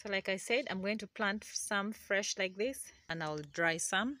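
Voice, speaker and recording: A young Ugandan woman with a Ugandan accent, chatting excitedly to a phone camera in her outdoor garden. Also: mid-range voice, measured pace. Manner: excitable, chatty, laughs often. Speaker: gardening vlogger. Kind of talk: casual chat to camera. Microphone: phone camera held close.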